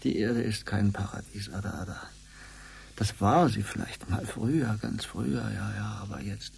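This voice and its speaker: Gravelly, husky, hesitant space traveller. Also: male voice, lowish voice, normal speed, darkish tone, heavily acted. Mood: wistful, disillusioned. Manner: hesitant, stammering, repeats words. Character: flustered, world-weary male space traveller from Earth, bound captive. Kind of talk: German radio drama acting.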